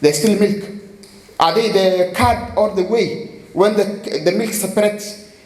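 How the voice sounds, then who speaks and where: A man's voice: sits high, slow pace, a little mellow. A man from Kenya with a Kenyan accent, speaking on a festival stage as a poet who explains his verse and often repeats words while searching for them. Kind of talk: spoken explanation of poetry with recitation. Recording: echoey live stage with faint background noise.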